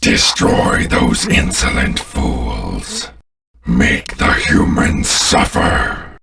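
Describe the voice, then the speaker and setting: A voice actor playing a menacing, commanding alien warlord in a video game, with a deep, gravelly voice. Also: male voice, expressive, very slow, sharp tone, distant mic, full character voice. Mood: ruthless, commanding. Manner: commanding, contemptuous, grandiose.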